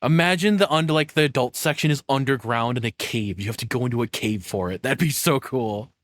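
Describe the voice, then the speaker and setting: A man's voice: mid-range voice, a little fast, a bit bright, dry recording, clean studio sound. A man giving casual reaction commentary as a streamer, rambling along in informal, slangy speech.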